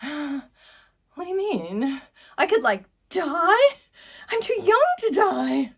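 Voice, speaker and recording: A woman's voice: extremely high pitch, big pitch swings, slow, creaky, heavily acted. A woman playing a spoiled, ditzy teenage girl patient who fills her talk with "like" and giggles. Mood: alarmed. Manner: valley-girl "like" fillers, giggly, self-important.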